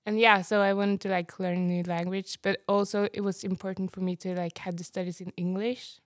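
A woman's voice: mid-range voice, level tone, a little fast, no echo, clean studio sound. A nineteen-year-old woman from Norway chatting as a guest, hesitant and giggly, with lots of fillers. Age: late teens. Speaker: guest student. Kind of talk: casual interview chat.

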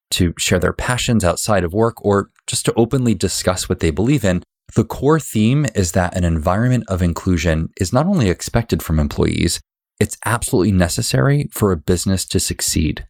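A man with a deep, low voice hosts a workplace podcast. He opens with a scripted welcome and then moves into earnest, conversational questions for his guest. The sound is dry and close. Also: darkish tone, no echo. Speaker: podcast host.

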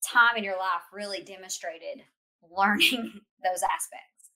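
Bright-voiced woman hosting a chat. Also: medium pitch, measured pace. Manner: reflective, encouraging questions. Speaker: host. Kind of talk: casual interview conversation.

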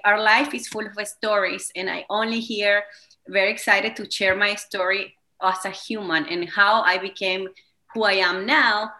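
A woman's voice: medium pitch, pushed and bright. A woman in her thirties with a Venezuelan Spanish accent, a wellness coach speaking English as a second language, talking enthusiastically as an interview guest. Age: 30s. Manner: enthusiastic, slightly non-native phrasing. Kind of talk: interview conversation.

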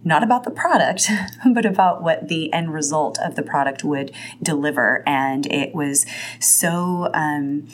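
Scratchy-voiced woman hosting a podcast. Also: low voice. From Italian heritage. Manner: rambling, chatty, laughs often. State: scratchy voice from being sick. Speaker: podcast host. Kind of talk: casual podcast intro and interview.

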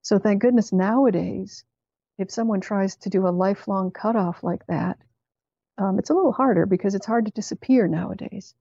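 A woman speaking as an interview guest, reflective and measured as she tells her own story. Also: mid-range voice, gravelly, mellow, slightly rough, no room sound, dull audio. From Canada. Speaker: therapist and writer, interview guest. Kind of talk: conversational interview, telling personal story.

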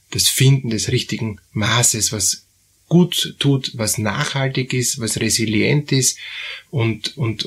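Husky guy talking slow and halting, lots of ums, giving life advice on a podcast. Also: mid-range voice. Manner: halting, many fillers and repeated words. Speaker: therapist host. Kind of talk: advisory podcast talk.

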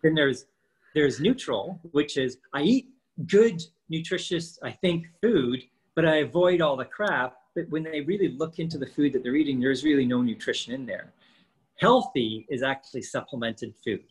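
A man's voice: a little high, moderate pace, breathy edge, poor audio. A man in his fifties co-hosting a casual podcast, explaining training and nutrition with lots of rhetorical questions. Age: 50s.

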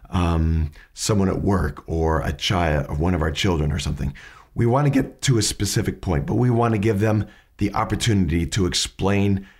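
A low-pitched man with a gravelly, husky voice, raspy from hay fever. He walks through his points methodically in a relaxed teaching tone, often restarting a sentence mid-way. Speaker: podcast host teaching questioning. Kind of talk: casual explanatory lesson.

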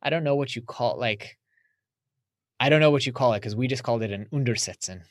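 A man's voice: medium pitch, lively intonation, a little fast, no echo, clean studio sound. A man from the United States, a podcast guest, talking casually and reflectively in an interview.

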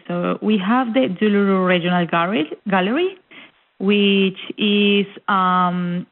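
A young woman from Colombia with a Colombian accent, a guest in an interview who talks eagerly and repeats words as she searches for them. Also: mid-range voice. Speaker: guest, cultural centre officer. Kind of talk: casual interview chat. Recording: tinny phone line.

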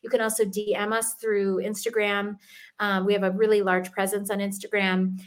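A woman's voice: mid-range voice, level tone, normal speed. A middle-aged woman, a fitness expert, speaking as an interview guest in an earnest, chatty way with frequent ums and restarts. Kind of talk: casual interview talk.

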